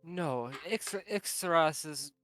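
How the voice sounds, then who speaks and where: A man's voice: on the high side, lively intonation, slow, fairly bright tone, soft background music. A man playing in an improvised tabletop game, speaking with hesitant stammering. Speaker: player.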